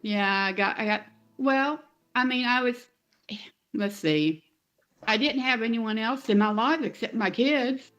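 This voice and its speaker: A woman's voice: on the high side, a little slow, a bit bright, highs cut off. A middle-aged woman giving conversational answers as an interview guest, telling her own story. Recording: faint music bed under interview.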